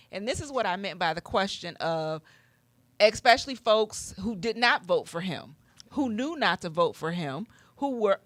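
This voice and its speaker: A woman's voice: medium pitch, pushed and bright, dry recording. An American woman in a conversational radio discussion, speaking as a guest with an emphatic, direct manner. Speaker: panel guest, author and doctor.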